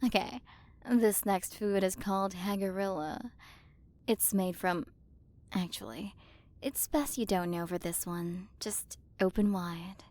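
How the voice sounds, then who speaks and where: A woman's voice: mid-range voice, steady tone, slow pace, a little mellow, lightly acted. A woman playing a haughty, possessive alien queen and scientist who talks down in a commanding, teasing way, in an ASMR roleplay. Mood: curious, pleased.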